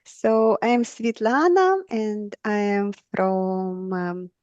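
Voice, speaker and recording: A woman's voice: medium pitch, takes it slowly, darkish tone, dry recording. A woman from Ukraine introducing herself hesitantly in an online circle, heard over dull lo-fi call audio. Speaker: circle participant. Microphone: video call.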